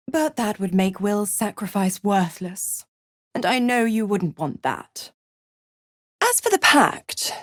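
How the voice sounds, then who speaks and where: A taunting she-devil from a fantasy game, seductive and smug. Her theatrical drawl is slow and swoops expressively, dripping with condescension. The sound is close and dry. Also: medium pitch, dry recording. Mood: teasing, smug. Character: seductive, taunting female devil, cruel smug pact-broker. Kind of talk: video game character voice acting.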